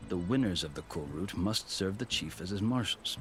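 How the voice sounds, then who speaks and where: A man playing a seasoned, proud warrior turned lawgiver in a video game, telling his tale in measured, formal, eloquent phrases. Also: sits low, expressive. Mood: proud, wry. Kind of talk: scripted video game cutscene dialogue. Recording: music behind the voice.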